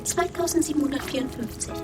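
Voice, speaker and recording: A woman voicing a small, all-knowing blue alien creature in a children's radio play, calm and gently cryptic. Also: high voice, fast, over loud music, lightly acted. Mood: matter-of-fact.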